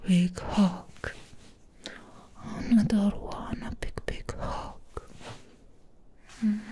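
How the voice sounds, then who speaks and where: A young woman voicing a possessive, lovesick vampire ex-girlfriend in a breathy, coaxing ASMR whisper, close to the microphone. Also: fairly low pitch, warm tone, slight background noise. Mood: adoring, possessive. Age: young adult. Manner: slow, coaxing, clingy. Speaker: ASMR roleplayer.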